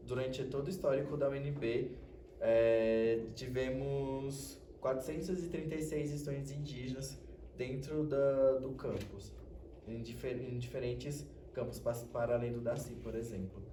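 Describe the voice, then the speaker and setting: A young Brazilian man speaking informally as a student on a panel, halting and searching for words, recorded roomy and from a distance. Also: medium pitch, dark tone. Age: young adult. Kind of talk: informal spoken panel presentation. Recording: roomy, distant mic with faint noise.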